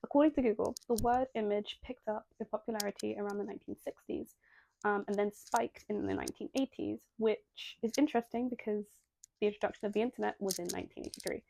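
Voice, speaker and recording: Dark, gravelly young woman host. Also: medium pitch, steady tone, fast, a little hiss or hum, rough recording. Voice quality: nasal. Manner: self-deprecating, breaks into apologetic tangents. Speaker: video essay host. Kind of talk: reading a scripted video essay with chatty asides.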